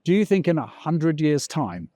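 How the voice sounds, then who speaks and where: A man's voice: fairly high pitch, big pitch swings, moderate pace, warm tone, dry recording, studio quality. A man presenting a news feature, narrating and interviewing on location. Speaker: reporter and presenter. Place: outdoors at a castle.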